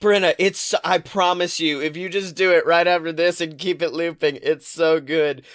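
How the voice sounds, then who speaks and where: Man, fairly high voice, podcast co-host, casual pop-culture chat, animated, rambling, swears freely. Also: moderate pace. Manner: animated, profane, rambling storytelling.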